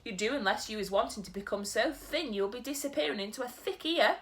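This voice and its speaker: Woman voicing a big old giant, muddled grammar, booming exclamations, lively swooping pitch, storybook character. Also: a little fast. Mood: gleeful disgust. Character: huge kindly old male giant, muddled talker.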